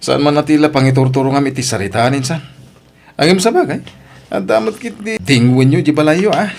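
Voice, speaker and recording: A man's voice: on the high side, a little fast, vocal fry, a little mellow, a little hiss or hum. A man with an Ilocano accent acting a boastful, needling father in an audio drama, speaking in a smug, meddling way. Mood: smug, taunting. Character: boastful, needling father, proud of his grown child.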